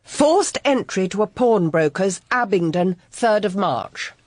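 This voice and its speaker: An actress playing a sceptical, protective private detective in a British radio drama, English, dry and argumentative. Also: female voice, mid-range voice, expressive, unhurried, a little mellow, no echo.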